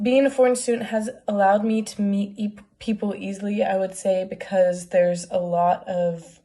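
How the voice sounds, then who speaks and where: A woman of twenty from the United States with an American accent, an exchange student answering interview questions in a relaxed, thoughtful way. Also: on the low side. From United States (Oregon). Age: 20s.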